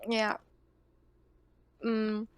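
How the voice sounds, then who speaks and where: A woman chatting casually as a player in an online tabletop game, tentative and halting. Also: a little high, monotone, smooth, dry recording. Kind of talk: casual chat. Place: online voice call.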